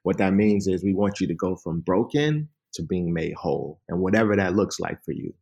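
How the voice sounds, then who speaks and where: Interview guest: low-voiced man, earnest inspirational speaker, unpolished and filler-heavy. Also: lively intonation, normal speed, creaky, a little mellow, breathy edge. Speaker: life coach and minister. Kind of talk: interview guest, casual talk.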